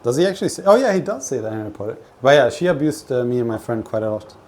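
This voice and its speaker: A man from Sweden chatting casually on a livestream from his kitchen, telling personal stories in a rambling, halting, sweary way. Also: medium pitch, very animated, darkish tone. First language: not Swedish; grew up with several languages. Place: home kitchen while cooking. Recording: clear kitchen noise, frying food. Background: frying food, kitchen ambience.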